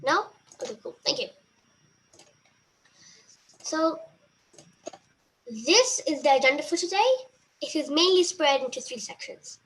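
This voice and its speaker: Young woman interviewer, super high voice, polite, reading questions off a list. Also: expressive, unhurried, pauses often, a bit bright, low-quality recording. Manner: polite, reads prepared questions, thanks often.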